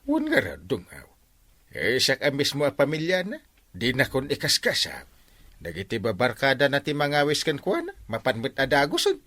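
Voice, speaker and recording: Wildly swooping, exasperated uncle. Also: male voice, mid-range voice, wildly swooping pitch, normal speed, sharp tone, exaggerated voice. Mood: resigned, complaining. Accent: Ilocano. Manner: exclamatory, swooping, nagging. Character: exasperated scolding uncle, village captain. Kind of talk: Ilocano radio comedy drama acting.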